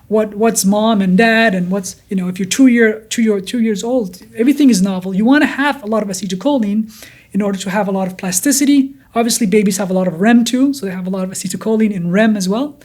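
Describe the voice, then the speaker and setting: A neuroscientist guest from Denmark, of Kurdish origin, with a blended accent in English, explaining at length and often repeating words and restarting. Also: male voice, sits high, darkish tone. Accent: blended Danish and Kurdish. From Denmark, Kurdish from Iraq. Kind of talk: explanatory interview talk.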